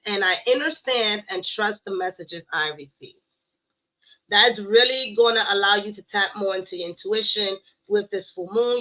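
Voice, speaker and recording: A woman giving a casual tarot reading to her viewers, playful and blunt, cursing now and then and slowing down to recite affirmations. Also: medium pitch, bright, forceful tone, rough recording. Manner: playful, blunt, swears, addresses viewers as y'all. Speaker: tarot reader.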